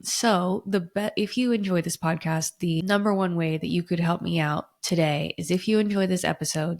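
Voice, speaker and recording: Gravelly, low-pitched young woman getting over a cold. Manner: chatty and earnest, with filler words. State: getting over a cold, a bit raspy and nasal. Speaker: podcast host. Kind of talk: casual solo podcast talk.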